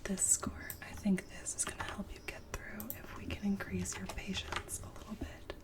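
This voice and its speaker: A woman speaking in a soft, breathy whisper, gently and without hurry, as a clinician in an ASMR telehealth roleplay. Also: mid-range voice, flat delivery, recorded from a distance. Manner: gentle, unhurried clinician murmuring lists and assessments. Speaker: ASMR performer playing a telehealth doctor.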